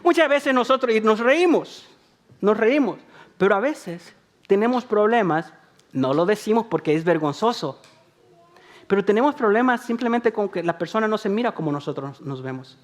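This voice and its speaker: A man's voice: high-pitched, lively intonation, moderate pace. A man from El Salvador preaching a sermon, talking to the congregation in a conversational way, repeating phrases for emphasis and joking about himself. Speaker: pastor.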